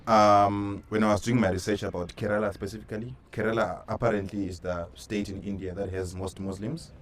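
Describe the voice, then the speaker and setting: A man's voice: fairly low pitch, steady tone, a little fast. A man hosting a casual interview, putting conversational questions to his guests. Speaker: interviewer, host. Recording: faint background noise and ambience.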